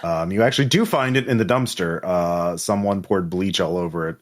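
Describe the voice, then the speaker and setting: A man narrating an improvised tabletop game as game master, halting and pausing mid-sentence. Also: deep voice.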